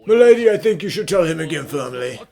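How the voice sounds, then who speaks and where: A stern, protective older butler, played by a man in a cartoon dub. The voice is creaky and sits a little high, with stiff, formal scolding over faint music. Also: big pitch swings, fast, mellow. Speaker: voice actor in amateur cartoon dub. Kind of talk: acted cartoon dialogue, fan dub.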